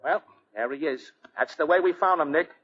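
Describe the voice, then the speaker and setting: A man's voice: fairly high pitch, a little fast, over loud music, lightly acted. A man acting a plain-spoken, obliging police detective, clipped and matter-of-fact, in 1940s radio style. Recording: dull lo-fi 1940 radio broadcast.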